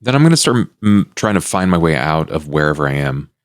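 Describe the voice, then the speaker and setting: A man's voice: rumbling low, sing-song, quick pace. A man talking casually as a player in a tabletop roleplaying game.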